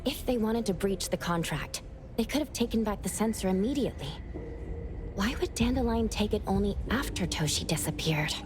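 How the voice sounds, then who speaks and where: A woman playing a calm, analytical young investigator in an anime game, measured and matter-of-fact as she reasons aloud. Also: mid-range voice, over music, close to an everyday voice.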